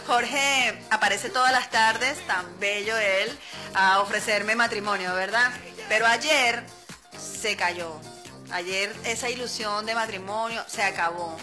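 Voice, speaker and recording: Chatty Venezuelan woman radio host. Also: a little high. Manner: chatty, playful, laughs often. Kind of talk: casual radio show chat. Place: radio studio.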